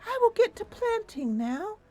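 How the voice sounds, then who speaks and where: A woman voicing a tiny, playful, petulant female river nixie with a squeaky, girlish voice and a coy, exclamatory manner. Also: very animated, unhurried, dark tone, no echo, soft background music, heavily acted. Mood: delighted.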